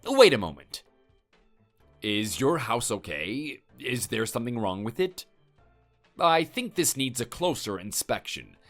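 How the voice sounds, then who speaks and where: A man's voice: mid-range voice, very animated, measured pace, fairly bright tone, faint music behind. A man playing a stern, threatening husband who lectures and intimidates his neighbor. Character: stern, menacing husband defending his wife.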